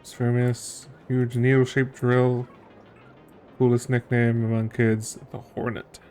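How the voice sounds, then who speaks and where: A man's voice: medium pitch, little pitch movement, slow pace, warm tone, soft background music. A man streaming a video game with casual commentary, musing and thinking aloud as he plays.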